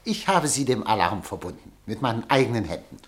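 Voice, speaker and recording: An actor in a radio play playing a self-important, insinuating newspaper director, ingratiating and full of meaningful hesitations. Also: male voice, lowish voice, sing-song, a little fast. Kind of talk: German radio drama acting.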